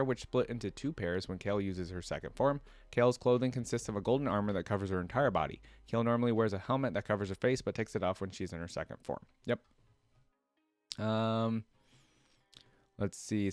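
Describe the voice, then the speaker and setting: A man's voice: lowish voice, no room sound. A man talking casually and enthusiastically as a reaction video host, commenting and reading text aloud.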